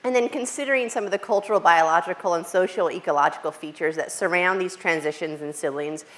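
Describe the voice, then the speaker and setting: Woman speaker, lowish voice, loud delivery, academic talk in a lecture hall on a hall mic, many fillers and restarts. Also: quick pace. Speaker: invited speaker. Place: lecture hall with some echo. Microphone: hall microphone.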